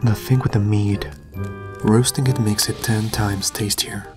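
Guy doing a serious young anime team leader, lowish voice, exasperated and scolding, music behind. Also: steady tone, unhurried, close to an everyday voice. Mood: earnest, instructive. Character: stern, responsible young male devil hunter, exasperated leader. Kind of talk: fan comic dub, dramatic acting.

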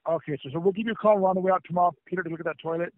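A man's voice: high-pitched, fairly even intonation, very fast, no echo. A man working as a phone agent, speaking politely and formally in scripted customer service style. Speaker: plumbing company phone agent. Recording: tinny lo-fi phone call.

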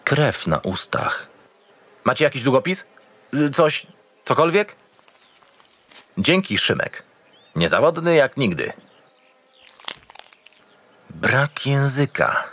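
An actor playing a gruff, cynical and experienced homicide detective in an audio drama, curt and impatient. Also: male voice, medium pitch, very animated, takes it slowly, long pauses, fairly bright tone, heavily acted. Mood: brooding, businesslike. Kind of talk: audio drama acting with noir narration.

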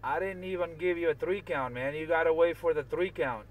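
A man's voice: fairly high pitch, brisk, narrow, tinny audio. A man chatting casually on CB radio, giving signal reports and often repeating himself. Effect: CB radio transmission. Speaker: CB radio operator. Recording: distant, very noisy CB radio chat.

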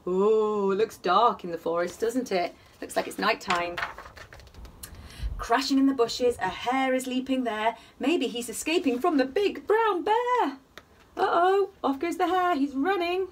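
A woman reads a picture book to young children in an animated, singsong, questioning way. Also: high-pitched, unhurried, recorded from a distance, noisy background. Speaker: children's bedtime story reader. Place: small attic room at home, indoors. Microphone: camera a short distance away.

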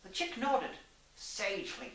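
A woman's voice: medium pitch, big pitch swings, measured pace, fairly bright tone. A young woman reading a story aloud in a theatrical, arch, mock-genteel manner. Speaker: story reader. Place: small room indoors. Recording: echoey room, distant mic.

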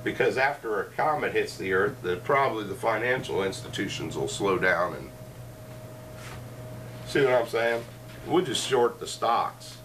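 A man's voice: fairly low pitch, animated, unhurried, fairly bright tone. A middle-aged man hosting a casual, joking chat in a cluttered living room, wandering through ideas with lots of asides. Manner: joking, meandering, with frequent asides. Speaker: show host. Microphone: fixed camera across the room. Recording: distant camera mic, faint room noise.

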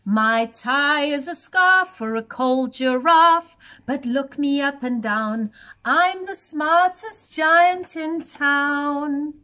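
A woman's voice: high voice, expressive, slow pace, hardly any pauses, bright, forceful tone, exaggerated voice. A woman voicing a kindly giant in a children's story, speaking in a cheery, sing-song way. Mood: cheerful. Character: a kindly male giant who wants to look smart.

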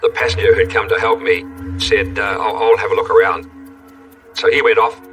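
A man's voice: lowish voice, normal speed, a bit bright. A man who survived a plane crash, telling his story in a plain, reflective way in a documentary interview. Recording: distant mic with music.

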